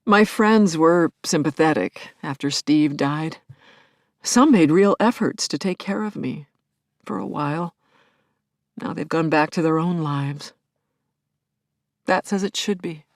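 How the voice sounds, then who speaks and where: A woman's voice: low voice, measured pace, gravelly, long pauses, no echo, clean studio sound. An actress playing a grieving middle-aged widow in an audio play, telling her story in a searching, intimate way that can drop toward a whisper. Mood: wistful, subdued. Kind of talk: dramatic first-person monologue, audio play.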